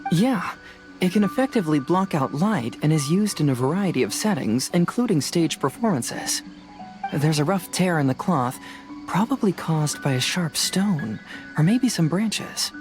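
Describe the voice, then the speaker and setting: A man voicing a calm young detective in a video game dub, reasoning aloud in a deliberate way. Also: a little high, over music, close to an everyday voice. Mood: deducing.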